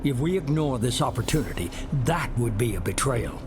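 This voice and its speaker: A man playing a cool, manipulative mastermind who talks in a measured, persuasive and commanding way. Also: mid-range voice, big pitch swings, over music, natural voice. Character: ruthless human mastermind, middle-aged man, cool and manipulative. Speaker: shadowy organization leader.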